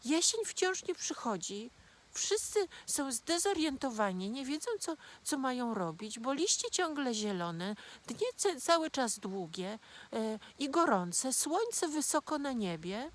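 A woman voicing two worried young children in a fairy tale, speaking higher and urgently. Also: sing-song, unhurried.

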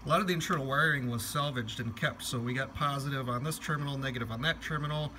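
A man's voice: mid-range voice, fast talker, a little hiss or hum, low-quality recording. A man giving a casual, unscripted show-and-tell of a hobby project, thinking aloud as he goes. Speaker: hobbyist maker presenting a project. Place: indoor room, workshop or office. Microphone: handheld phone or camera, operator behind it. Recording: music playing loudly over the voice. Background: radio music.

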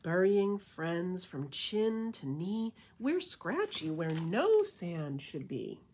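A middle-aged woman reads a children's picture book aloud as a librarian, with rhythmic, animated storytelling and casual asides. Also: on the low side, slow pace, slight background noise. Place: indoor room with painted wall.

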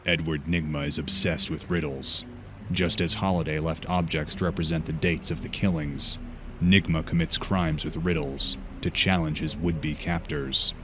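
A man's voice: extremely low pitch, flat delivery, moderate pace, background noise. A man voicing a brooding, stern masked vigilante in a comic fan dub, terse and grave. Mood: grim, commanding. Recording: music bed behind.

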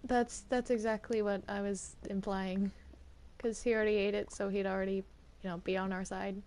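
A woman's voice: mid-range voice, level tone, normal speed, a little mellow, lightly acted. Needling, pushy game villainess played by a woman, mid-range voice, improvised delivery. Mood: insistent. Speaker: player in a Minecraft roleplay.

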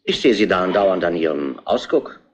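Gruff chief inspector, lowish voice, animated intonation, brusque questioning, retro crime radio play. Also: male voice, normal speed, highs cut off. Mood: probing. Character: gruff, grumbling male police inspector in charge. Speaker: radio drama actor.

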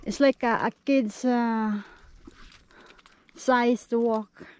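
A woman with a Filipino accent vlogs a hike outdoors on her phone, talking very slowly in a fairly high voice. She keeps admiring what she sees with short, repeated exclamations. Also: fairly even intonation. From Philippines. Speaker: vlogger. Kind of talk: casual hiking vlog chat. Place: outdoors on a canyon trail.

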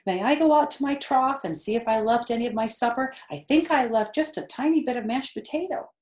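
A woman's voice: on the high side, fairly quick, a little mellow, voice pushed up, natural voice. A woman voicing an anxious, eager, childlike young male pig in a children's storybook, asking in a pleading way. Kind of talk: read-aloud children's storybook. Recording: roomy, echoey indoor sound.

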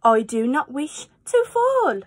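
Woman as a storybook pet fish, high voice, anxious, indignant, bossy, protesting. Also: big pitch swings, slow, exaggerated voice. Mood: panicked. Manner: exclaiming, protesting. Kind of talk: children's storybook read-aloud.

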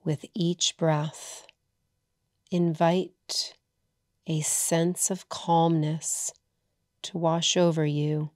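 A woman leading a guided meditation as a yoga nidra teacher, soothing and hushed, leaving long pauses between short phrases. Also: low-pitched, little pitch movement, dry recording, clean studio sound.

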